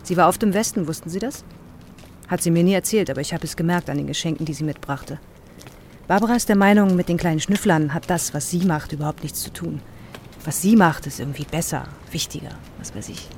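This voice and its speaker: A woman's voice: fairly low pitch, fairly quick, darkish tone, a little hiss or hum, close to an everyday voice. Guarded, cool woman, played by an actress. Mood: sceptical, frank. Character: guarded, direct East German woman, sceptical sister. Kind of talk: understated radio drama acting.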